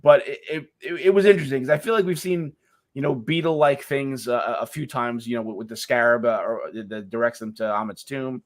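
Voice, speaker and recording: A middle-aged man co-hosting a casual live chat, talking in a halting way with many restarts. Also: medium pitch. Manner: halting, many restarts and fillers, laughs easily. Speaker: co-host.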